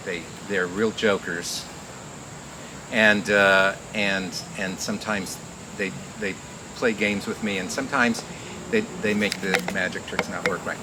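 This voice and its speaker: A man hosting a live outdoor garden show, welcoming people and doing magician's patter in an informal, halting, rambling way. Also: low voice. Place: outdoor community garden event. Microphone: live mic through a speaker. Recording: very noisy outdoor live recording.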